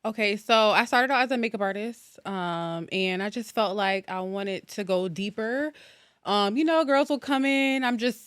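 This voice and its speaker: A woman's voice: mid-range voice, normal speed, fairly bright tone, smooth, no room sound. Candid woman guest, dry close sound. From Norwalk, Connecticut, USA. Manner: candid, chatty and matter-of-fact. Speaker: guest esthetician. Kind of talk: casual interview chat.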